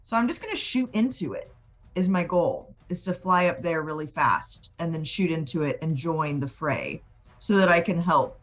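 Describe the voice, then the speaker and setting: Woman on a tabletop game, lowish voice, talks thru her moves casually, faint music. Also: lively intonation, moderate pace. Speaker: player in a tabletop roleplaying game.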